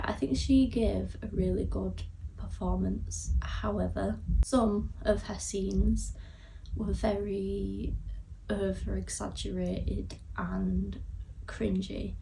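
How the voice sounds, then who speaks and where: A woman's voice: medium pitch, fairly even intonation, slow, gravelly. A young woman with a Yorkshire accent giving a casual, somewhat hesitant film review to camera, recorded at a distance from the mic. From Yorkshire, England. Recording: distant mic with faint background noise.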